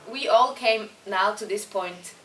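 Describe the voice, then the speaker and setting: A woman's voice: medium pitch, a little slow, fairly bright tone, a little hiss or hum. A young woman with a Swiss German accent, a band singer chatting through interview answers with plenty of fillers and restarts. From Switzerland. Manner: chatty, many fillers and restarts, laughs easily. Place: concert venue before a show. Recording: roomy sound from a distant mic, faint ambience.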